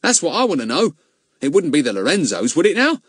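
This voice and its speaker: A man's voice: on the high side, huge pitch swings, a little fast, breathy edge, no room sound, studio quality, voice pushed up, full character voice. A man voicing a doleful, worried police constable who speaks mournfully.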